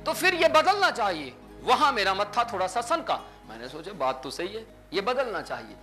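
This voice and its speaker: Brief: male pastor, 30s, high bright voice, animated storytelling sermon, faint music underneath. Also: moderate pace. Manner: animated preacher, acts out dialogue with sweeping gestures. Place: small indoor stage with curtains.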